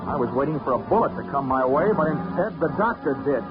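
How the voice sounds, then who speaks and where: An actor playing a wisecracking, hard-boiled investigator in a 1940s radio drama, speaking in a dry, clipped, wry way. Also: male voice, a little high, expressive, fast, natural voice. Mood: wry, tense. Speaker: lead actor and narrator. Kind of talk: 1940s radio detective acting, first-person narration. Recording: dull old radio recording, loud music.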